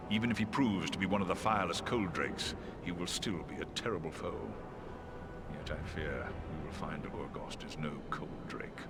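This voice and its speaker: A man voicing a noble giant eagle in a fantasy game, speaking formally and gravely with caution. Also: low-pitched, moderate pace, very noisy, close to an everyday voice. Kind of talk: fantasy video game cutscene acting. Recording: loud music behind the voice.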